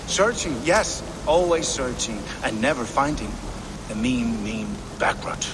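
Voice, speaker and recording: An actor playing an eccentric, eager, jittery Norse outcast in a video game, halting and sing-song, repeating himself. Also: male voice, medium pitch, expressive, noisy background, lightly acted. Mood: conspiratorial. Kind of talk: video game character acting.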